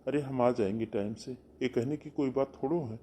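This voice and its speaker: Man doing a kind middle-aged brother, warm low tone, calming, cartoon. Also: a little fast, a little hiss or hum, natural voice. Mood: reassuring. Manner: soothing, reassuring. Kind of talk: cartoon voice acting.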